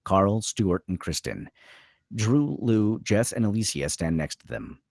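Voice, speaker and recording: A man's voice: on the low side, sing-song, measured pace, vocal fry, darkish tone, no room sound, clean studio sound. A man narrating screenplay stage directions at a table read, reading scripted scene descriptions.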